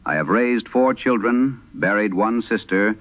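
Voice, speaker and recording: A man's voice: on the low side, unhurried, voice pushed up, natural voice. A man voicing a sober farm mother who earnestly and precisely recounts a frightening night in a radio horror monologue. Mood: earnest, insistent. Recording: muffled old radio broadcast sound.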